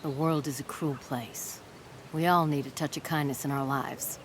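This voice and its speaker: Game role: woman playing kindly but firm matriarch of outcasts. Low pitch, measured and formal, faint ambience behind. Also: steady tone, background noise, close to an everyday voice. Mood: composed, kindly. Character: protective, kindly, composed female leader of outcast community. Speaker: community leader character. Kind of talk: video game dialogue.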